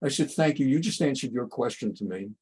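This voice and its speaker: A man's voice: mid-range voice, lively intonation, fairly quick, dark tone, breathy edge. A man speaking as an interview guest and rabbi, giving opinionated answers in an emphatic, rhetorical manner. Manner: emphatic, rhetorical questions, acts out dialogues.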